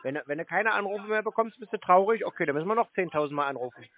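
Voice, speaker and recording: A man's voice: sits high, lively intonation, quick pace. A man bantering casually on the phone in a teasing, sarcastic manner. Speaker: prank call recipient baiting scam callers. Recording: tinny phone-like sound.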